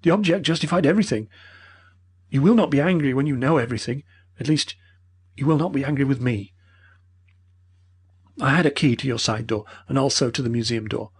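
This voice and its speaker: A man reading an old male professor who speaks in a breathless, earnest, pleading way, with a husky voice. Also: mid-range voice, a little fast, long pauses, voice pushed up. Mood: explaining.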